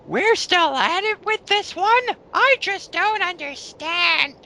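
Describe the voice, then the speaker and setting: A man's voice: very high-pitched, lively intonation, takes it slowly, pushed and bright, pitched above the performer's own voice, full character voice. A man voicing an indignant, haughty woman online commenter with a mock Irish accent, in an exaggerated mocking dramatic reading. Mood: exasperated.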